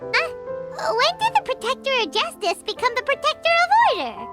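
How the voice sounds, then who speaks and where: A woman voicing a tiny, curious, childlike game sprite with a squeaky, upbeat voice. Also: wildly swooping pitch, normal speed, full character voice. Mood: puzzled. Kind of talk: video game voice acting. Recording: music behind the voice.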